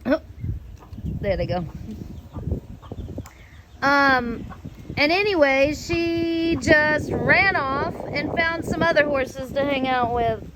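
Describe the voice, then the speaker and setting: Woman, American accent, high-pitched, very slow pace, casual rambling storyteller, loose salty talk. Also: animated, background noise. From United States. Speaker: host of a personal storytelling show.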